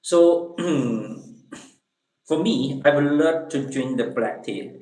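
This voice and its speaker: A man's voice: on the high side, slow pace, darkish tone. A man from Eastern China with a Chinese accent, chatting casually with viewers on a livestream, hesitant and repeating words as he searches for English phrases. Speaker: livestream host. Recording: echoey room.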